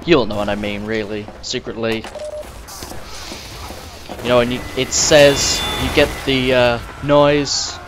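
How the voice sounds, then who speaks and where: Croaky young man chatting over gameplay. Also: mid-range voice, level tone, slow pace, pauses often, background noise. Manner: rambling, chatty, talks straight to the viewer. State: slightly croaky and sniffly. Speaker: gamer recording gameplay commentary. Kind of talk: casual gameplay commentary. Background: game music and sounds.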